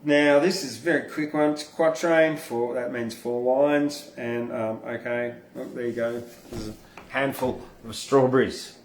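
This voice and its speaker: A man's voice: mid-range voice, distant mic, slight background noise. A man hosting and performing his own poetry, with casual asides and an informal, blunt manner. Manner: informal, blunt, freely swearing. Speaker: podcast host and poet reading own verse. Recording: roomy, echoing room sound.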